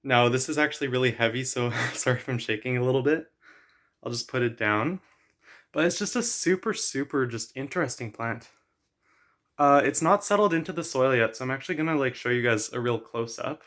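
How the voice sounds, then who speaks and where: A man's voice: mid-range voice, fairly quick, a bit bright. Chatty, enthusiastic plant-vlogging man. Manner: chatty, enthusiastic, frequent "uh" fillers. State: losing his voice. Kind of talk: casual show-and-tell.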